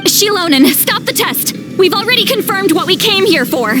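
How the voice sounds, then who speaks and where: A woman's voice: very high-pitched, expressive, moderate pace, over loud music. A woman voicing a grave, knowledgeable shaman in a video game, explaining things solemnly. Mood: urgent. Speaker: voice actor in a game. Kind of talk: scripted game cutscene acting.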